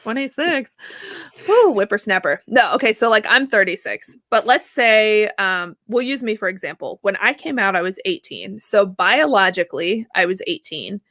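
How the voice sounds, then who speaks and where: A woman in her thirties, a therapist guest answering at length in a casual, animated way, laughing easily and often checking in with "right?". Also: mid-range voice, moderate pace, no room sound. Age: 30s. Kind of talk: conversational interview answer.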